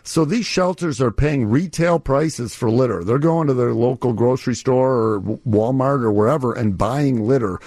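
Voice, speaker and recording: A man's voice: mid-range voice, a little mellow, no echo. Man explaining things in a dry studio. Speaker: company representative guest. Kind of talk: promotional radio interview.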